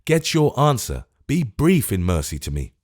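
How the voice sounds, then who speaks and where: A man voicing a grave gentleman who speaks earnestly and with urgency. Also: medium pitch, very animated, moderate pace. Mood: earnest, urgent. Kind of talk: audiobook character voice.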